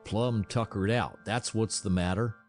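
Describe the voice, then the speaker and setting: A man's voice: on the low side, fairly even intonation, a little slow, a little mellow, soft background music, lightly acted. Man doing a folksy frontiersman. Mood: blunt. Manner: folksy, colloquial, blunt. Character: plain-spoken rustic frontier onlooker, folksy and wry.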